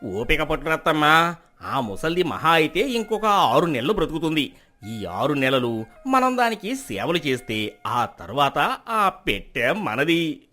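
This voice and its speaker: A man acts out a crafty, miserly village husband from a storybook. His voice is fairly high and swoops with theatrical, emphatic false devotion. Faint music plays underneath. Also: sing-song, measured pace, bright, forceful tone, full character voice. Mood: crafty plotting.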